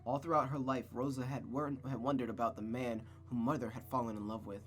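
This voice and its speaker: A man's voice: mid-range voice, steady tone, fairly quick, soft background music. A man streaming a visual novel, narrating it aloud like a storybook and adding casual asides.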